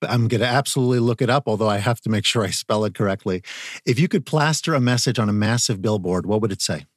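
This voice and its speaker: A man's voice: fairly low pitch, fast, dry recording, clean studio sound. A middle-aged man hosting an interview podcast, encouraging and speaking with frequent fillers. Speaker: podcast host.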